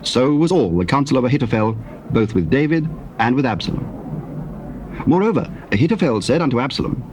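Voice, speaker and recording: A man's voice: medium pitch, animated, fairly quick. A man narrating scripture as a solemn, scripted story on a noisy old tape.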